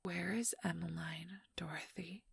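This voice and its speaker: A woman's voice: on the low side, fairly even intonation, a little slow, creaky, slightly rough, no room sound, studio quality, exaggerated voice. A woman voicing a sullen, irritable vampire rival in an ASMR roleplay, curt and seething. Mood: demanding.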